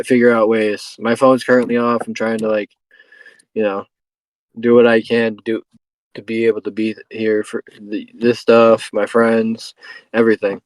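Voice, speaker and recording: A man of twenty hosting a casual podcast chat, giving a life update with halting speech and frequent restarts. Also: lowish voice, no room sound, rough recording. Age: 20s. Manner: halting, restarts sentences often. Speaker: podcast host.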